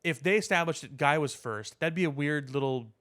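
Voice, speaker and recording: A man's voice: a little high, brisk, a bit bright. A man co-hosting a podcast, explaining his opinions in casual, opinionated talk about superhero films. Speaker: podcast co-host.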